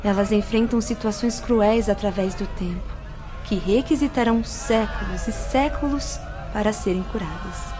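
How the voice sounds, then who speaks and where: A woman playing a spirit nun who guides visitors, speaking in a grave, instructive, measured way in a radio drama. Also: mid-range voice, natural voice. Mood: solemn. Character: solemn nun spirit, stern female guide. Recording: music bed under voice.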